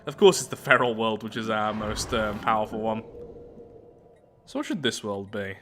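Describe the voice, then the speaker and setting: A man's voice: on the low side, moderate pace, background noise. A man hosting a game playthrough, reading event text aloud and adding casual asides as he reacts to what comes up. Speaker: gaming video host. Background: music.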